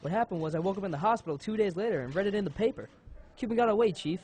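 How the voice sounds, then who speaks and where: A man playing a hot-headed young police detective in a crime audio drama, brash and husky. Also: sits high, expressive, rapid-fire, darkish tone. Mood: sullen. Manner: brash, slangy, combative.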